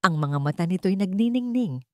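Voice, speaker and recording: A woman's voice: fairly low pitch, expressive, normal speed, dry recording, studio quality. A woman with a Filipino accent narrating a scripted dramatic story.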